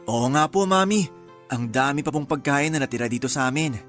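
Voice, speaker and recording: A man's voice: fairly high pitch, expressive, brisk, fairly bright tone. An actor playing a mild, reassuring young husband and father in a radio drama. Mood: easygoing. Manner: mild, reassuring, calls wife "mahal". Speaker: husband character. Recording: faint music bed behind.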